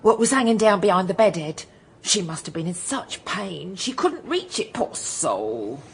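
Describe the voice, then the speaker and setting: A woman's voice: lowish voice, big pitch swings, normal speed, husky, full character voice. An actress playing a plain-spoken working-class servant woman giving emphatic, colloquial testimony in a radio drama. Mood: pitying.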